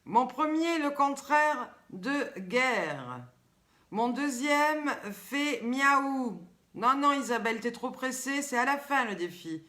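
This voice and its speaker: A woman's voice: sits high, slow. Older Southern French woman hosting. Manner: lively, teasing, chatty, reads viewers' comments aloud. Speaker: host. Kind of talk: live-stream chat with viewers.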